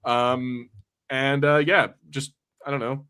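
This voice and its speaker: A man's voice: mid-range voice, level tone, slow, sharp tone, clean tone. A man hosting a film podcast in casual banter, joking and swearing freely in an informal way. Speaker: podcast host.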